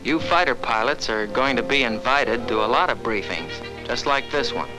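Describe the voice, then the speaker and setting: 1940s training film man over loud music. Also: mid-range voice, lively intonation, moderate pace, low-quality recording. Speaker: fighter pilot narrator addressing trainees. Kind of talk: scripted 1940s military training film narration.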